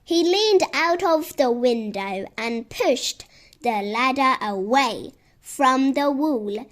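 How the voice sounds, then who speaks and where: A woman reading a scripted children's story as narrator, with deliberate, expressive delivery. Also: high voice.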